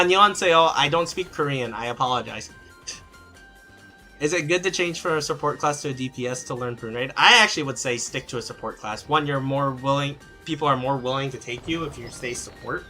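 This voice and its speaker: Guy on a game stream, bright voice, lots of ums, answering chat, soft music behind. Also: mid-range voice. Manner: rambling, many fillers and restarts. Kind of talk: casual live-stream game advice.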